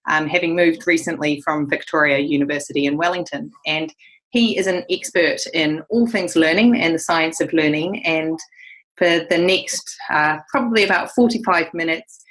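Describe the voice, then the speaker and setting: A woman's voice: low voice, fairly bright tone, low-quality recording. A woman hosting an online interview, introducing her guest in a friendly, organised way. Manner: friendly and organised, with some fillers. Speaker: host.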